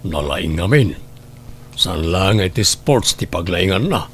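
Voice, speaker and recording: A man with an Ilocano accent playing a gruff, proud father in a family drama. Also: sits low, lively intonation, measured pace, fairly bright tone, a little hiss or hum. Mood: proud, content. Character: gruff, skeptical, proud father of a scholar athlete. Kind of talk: Ilocano radio-style family drama acting.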